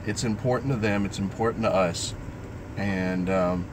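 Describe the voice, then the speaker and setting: Middle-aged male vlogger, very low voice, halting and earnest personal talk, clear background noise. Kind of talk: personal vlog talk, live stream.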